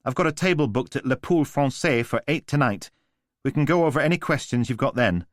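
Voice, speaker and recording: A man voicing a brisk, pushy young female publicist with an Estuary accent. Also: medium pitch, animated, dry recording, clean studio sound, pitched above the performer's own voice, close to an everyday voice. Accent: Estuary English. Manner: brisk and businesslike.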